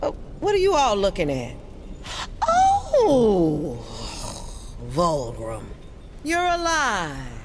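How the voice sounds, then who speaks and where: High, swooping, brash demon. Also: male voice, wildly swooping pitch, extremely slow, very noisy, full character voice. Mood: excitable. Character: brash, squawky, excitable demon freed from prison. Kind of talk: video game cutscene acting.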